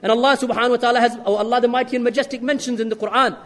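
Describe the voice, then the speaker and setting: Man, preacher, earnest sermon, rhetorical questions, very high voice, reverberant hall. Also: fairly even intonation. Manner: earnest exhortation, rhetorical questions, rapid Arabic recitation. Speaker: Islamic preacher.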